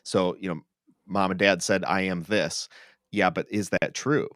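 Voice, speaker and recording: Low-voiced thoughtful male podcast host. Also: steady tone, a little slow, a bit bright, dry recording, studio quality. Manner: affirming, reflective, checks in with "right?". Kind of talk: casual, thoughtful conversational commentary. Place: online video call for a livestream podcast.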